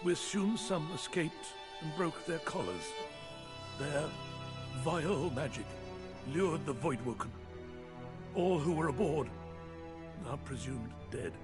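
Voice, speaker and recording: An actor playing a stern high official reading out a formal report. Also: male voice, expressive. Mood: solemn. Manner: formal, measured letter reading. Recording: game voice-over with music behind.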